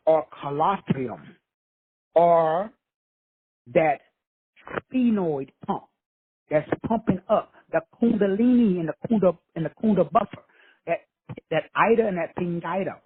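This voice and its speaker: Husky man preaching over the phone. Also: high-pitched, unhurried, long pauses. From Texas. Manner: swaggering, preachy, peppered with profanity and slang. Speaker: host of a call-in show. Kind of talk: profane motivational preaching. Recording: tinny phone line, dry.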